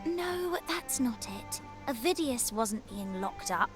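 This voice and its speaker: Game side role: young novice priestess, high-pitched, courteous and earnest, music underneath. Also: female voice, very animated, slow pace. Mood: earnest, correcting. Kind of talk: video game voice acting.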